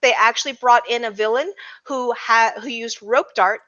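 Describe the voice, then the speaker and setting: A woman's voice: fairly high pitch, moderate pace, bright, forceful tone. A woman giving earnest, opinionated takes in a casual roundtable film discussion. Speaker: podcast panelist. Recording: slightly dull, lo-fi audio.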